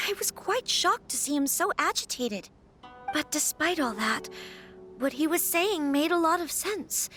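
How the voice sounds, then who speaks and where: Casting: young noblewoman for a fantasy game, high-pitched, courteous, conflicted and hesitant. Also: fairly bright tone. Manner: polite, earnest, hesitant with sighs. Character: troubled young noblewoman, polite and conflicted about her wedding. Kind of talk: video game voice acting, scripted dialogue.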